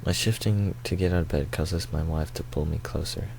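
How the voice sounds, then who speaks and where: A man's voice: low voice, fairly even intonation, moderate pace, gravelly, background noise. A man reading the part of a troubled married photographer in his thirties, in subdued first-person visual novel narration. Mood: brooding, subdued. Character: troubled thirty-two-year-old married male photographer, brooding narrator.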